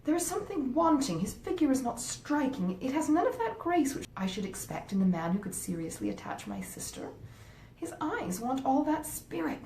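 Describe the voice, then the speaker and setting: A woman voicing a romantic, earnest teenage girl from a Regency novel, with emphatic, drawn-out delivery. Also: warm tone. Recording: roomy echo, faint rumbling noise.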